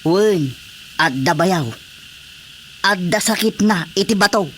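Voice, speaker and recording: A man's voice: high-pitched, lively intonation, takes it slowly, fairly bright tone, full character voice. A man playing an overworked, fed-up husband in a radio drama, grumbling plaintively in an Ilocano accent. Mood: resigned grumbling. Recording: faint background noise.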